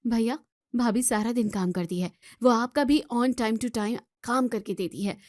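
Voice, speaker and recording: A woman's voice: a little high, a little slow, a little mellow, no echo, studio quality, close to an everyday voice. A woman playing an outspoken young student in a family drama, scolding and arguing with emphatic questions. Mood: indignant, reasoning. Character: outspoken young student daughter defending her sister-in-law. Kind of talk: Hindi family drama voice acting.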